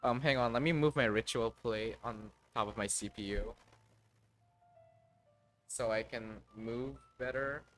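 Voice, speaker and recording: Male streamer, unhurried and halting, casual muttered gaming commentary in a mid-range voice. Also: medium pitch, a bit bright, faint music behind. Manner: halting, muttering to himself, mild swearing. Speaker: live game streamer. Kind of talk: casual live gaming commentary, thinking aloud.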